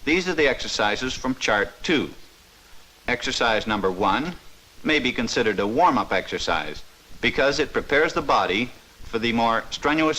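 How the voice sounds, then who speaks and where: A man giving scripted, measured narration for an instructional training film from the 1950s, stressing key words as he explains. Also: medium pitch, sharp tone, low-quality recording.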